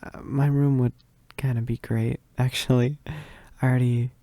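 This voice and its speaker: A man playing a shy, needy, gentle werewolf roommate in an intimate ASMR roleplay, hesitant and stammering. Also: medium pitch, steady tone, unhurried, no echo, lightly acted. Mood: flustered, grateful. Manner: hesitant, stammering, bashful with soft chuckles.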